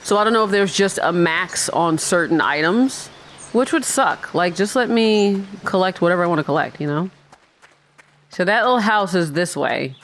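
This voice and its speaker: A woman's voice: lowish voice, normal speed, slight background noise. Woman rambling through a video game playthrough. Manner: rambling, thinking aloud, chatty. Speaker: gamer narrating her own playthrough. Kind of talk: casual let's-play commentary.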